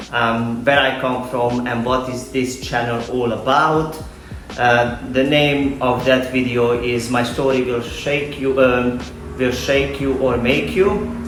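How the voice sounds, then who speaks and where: A middle-aged man with a Serbian accent speaks straight to his viewers in an earnest, deliberate way, often pausing to find words. His pitch sits in an ordinary male middle range. The room adds a clear echo, and faint music plays behind him. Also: fairly bright tone. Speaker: online fitness coach and video host. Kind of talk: personal vlog talk to camera.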